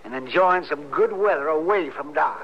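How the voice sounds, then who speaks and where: High-pitched, fussy old frontier doctor played by a man. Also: moderate pace, soft background music, natural voice. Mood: chatty. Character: fussy, kindly older frontier town doctor. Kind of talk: old-time radio western acting. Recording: hissy, dull old radio recording.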